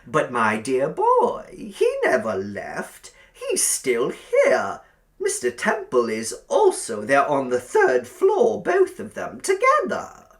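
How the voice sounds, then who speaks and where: A man voicing a sweet, eerily doting middle-aged landlady in an audiobook, fussy and gushing, with drawn-out emphasis. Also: on the high side, wildly swooping pitch, gravelly, fairly bright tone, voice pushed up, exaggerated voice. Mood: wistful, sly. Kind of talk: audiobook character acting.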